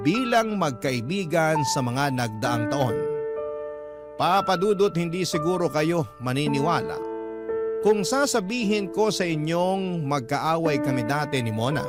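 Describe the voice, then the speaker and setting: A Filipino man hosts a radio drama, reading a listener's personal story as scripted narration. His voice is fairly high for a man and has a Filipino accent, with loud music playing behind it. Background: prominent music.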